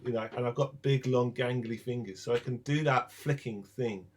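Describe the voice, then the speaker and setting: A man, a guest songwriter, talking casually with stumbling starts and quick bursts. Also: mid-range voice, faint music behind. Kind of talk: casual interview chat.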